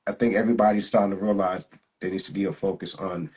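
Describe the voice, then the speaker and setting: Host role: a man with a lowish, husky voice, laid-back and slangy, laughs easily. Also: fast talker. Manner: relaxed, laughing, slangy, repeats words. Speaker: jiu-jitsu instructor and host. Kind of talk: casual live interview chat.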